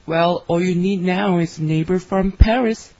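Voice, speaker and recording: A woman reading her part in a scripted English conversation for learners, with clear, careful delivery. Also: lowish voice, moderate pace, mellow, no room sound. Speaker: dialogue reader for English learners. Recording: dry, slightly dull studio recording.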